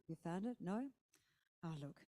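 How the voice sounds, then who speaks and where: A woman hosting an event on stage in a chatty, loud, joking way. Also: fairly low pitch, expressive, measured pace. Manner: chatty, loud, joking about mic trouble. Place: conference venue.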